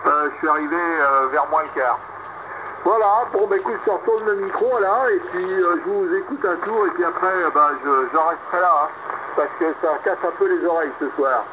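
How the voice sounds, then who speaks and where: Muffled shortwave radio with clear static carries a man's high voice. He talks casually as an amateur radio operator, handing over and chatting with the others on the frequency. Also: normal speed. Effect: shortwave single-sideband radio.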